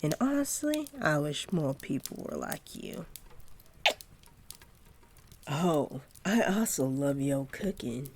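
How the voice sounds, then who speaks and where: A woman playing a sweet, doting centaur girlfriend in an intimate roleplay audio, speaking softly and affectionately to her partner with gentle teasing. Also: low voice, huge pitch swings, slow pace, long pauses, a little hiss or hum, exaggerated voice. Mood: wistful, grateful, then playful, affectionate.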